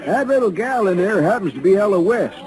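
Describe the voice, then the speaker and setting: A man's voice: high voice, animated, brisk. An actor playing a blustery, exasperated Wild West showman with a folksy Western American drawl in a radio western. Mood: worried. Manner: folksy, colorful, ungrammatical. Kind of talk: 1950s radio western acting. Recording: old radio recording, crowd murmur behind.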